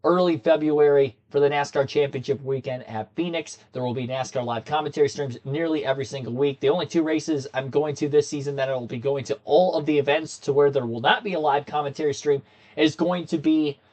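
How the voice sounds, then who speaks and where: A young man with a slightly hoarse voice, chatting casually with viewers as he hosts a racing commentary livestream from a desk in a small room. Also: fairly high pitch, fairly even intonation, brisk, a little mellow. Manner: chatty, talks straight to his viewers.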